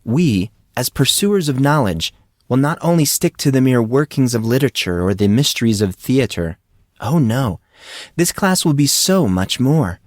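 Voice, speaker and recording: Man voicing a sly young female teacher, raised pitch. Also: lively intonation, normal speed, no echo, clean studio sound, lightly acted. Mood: poised, commanding. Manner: cool, knowing, formal.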